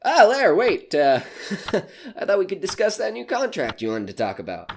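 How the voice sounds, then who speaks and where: A man's voice: high-pitched, wildly swooping pitch, normal speed, background noise, exaggerated voice. A man playing a desperate, nervous small-time comedian in an audio horror drama, stammering and pleading. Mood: nervously eager.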